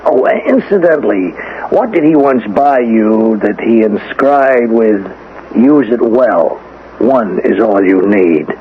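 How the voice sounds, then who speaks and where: An actor playing a shrewd, suspicious police lieutenant in a radio drama, needling with drawn-out, insinuating questions. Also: male voice, fairly low pitch, animated. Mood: sly, insinuating. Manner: drawling pauses, insinuating, folksy rhetorical questions. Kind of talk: 1970s radio drama acting. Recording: tinny vintage radio broadcast, faint noise.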